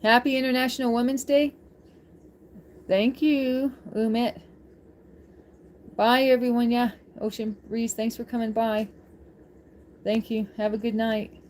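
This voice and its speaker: A woman's voice: on the high side, little pitch movement, measured pace, pauses often, darkish tone, a little hiss or hum. A woman hosting a livestream, chatting casually with viewers in an informal, rambling, giggly way.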